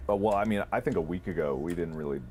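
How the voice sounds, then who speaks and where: A man's voice: low voice, quick pace, darkish tone, slight background noise. A man co-hosting a video in casual, unscripted conversation with his partner. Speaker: video co-host. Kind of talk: casual unscripted banter with a co-host.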